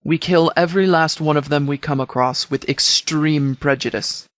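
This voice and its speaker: Guy voicing a stern wasteland sheriff for a game, kinda higher pitched, formal n measured, super dry close sound. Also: fairly even intonation, fairly bright tone, dry recording, natural voice. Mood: stern, resolute. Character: stern, principled sheriff of a post-apocalyptic settlement. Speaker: voice actor in a game mod. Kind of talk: scripted video game character dialogue.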